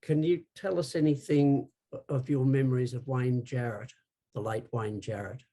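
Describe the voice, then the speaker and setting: A man's voice: mid-range voice, fairly even intonation, moderate pace, mellow. A man hosting an interview, reading out prepared questions. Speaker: interview host.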